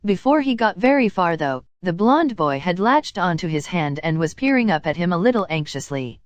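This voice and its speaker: Low-pitched woman reading a story, dry recording. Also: sing-song, normal speed, no echo, lo-fi sound. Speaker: narrator reading fan fiction aloud. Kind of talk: scripted story narration with character dialogue.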